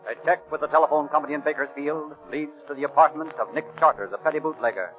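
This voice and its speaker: Brisk male narrator, vintage radio sound. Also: a little high. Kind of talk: 1930s radio crime drama narration. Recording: tinny vintage 1930s radio recording, music.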